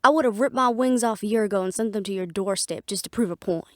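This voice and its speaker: A woman's voice: medium pitch, quick pace, dry recording, studio quality, natural voice. A woman playing a sarcastic, defiant young half-fae daughter in an audio drama, with a snappy manner. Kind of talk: dramatic audio roleplay acting.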